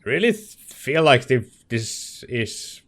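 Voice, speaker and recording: A man's voice: mid-range voice, big pitch swings, slow pace, fairly bright tone. A man giving casual let's play commentary, offhand, reacting and musing aloud.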